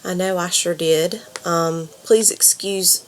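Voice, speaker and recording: Stuffed-up low-voiced woman with a cold. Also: steady tone, slow pace, a little hiss or hum. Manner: hesitant, many ums and you knows. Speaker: counselor.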